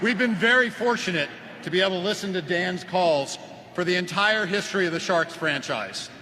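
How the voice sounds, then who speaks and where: A man's voice: high voice, moderate pace, crowd noise. A man giving a prepared tribute speech at a ceremony as a team president, over the PA in a large indoor arena. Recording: noisy arena PA, long reverb.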